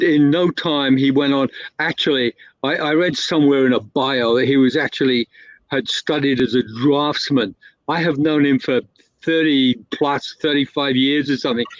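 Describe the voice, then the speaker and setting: A man's voice: medium pitch, dry recording. A man telling a long anecdote in a casual, rambling way, full of fillers and restarts. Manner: rambling, with many fillers and self-corrections. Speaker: guest storyteller. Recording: dull, lo-fi, dry sound.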